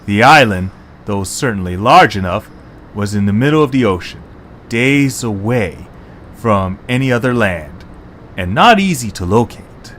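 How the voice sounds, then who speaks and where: A man's voice: on the low side, fairly bright tone, slight background noise. A man reading scripted narration for a fantasy storybook tale.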